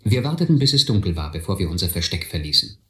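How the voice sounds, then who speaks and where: A man's voice: sits low, very animated, fast, pushed and bright, heavily acted. An actor playing a reflective man who narrates his boyhood adventures in a radio play. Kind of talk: scripted first-person radio play narration. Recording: echoey, slightly noisy audio drama recording.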